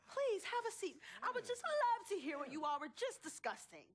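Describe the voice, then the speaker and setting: Game cutscene role: combative, protective sister-manager, young American woman, high and animated, dripping sarcasm. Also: moderate pace. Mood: mock sweet. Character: sharp-tongued, protective twin sister and manager. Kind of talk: dramatic video game cutscene acting.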